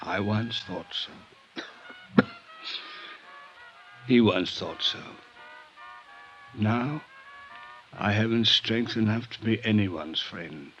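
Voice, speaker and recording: Slow-spoken, world-weary drunk older man. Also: lowish voice, fairly bright tone. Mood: melancholy, weary. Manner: florid, theatrical, halting drunken speech. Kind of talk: 1950s radio drama acting. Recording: dull 1950s radio recording, music behind.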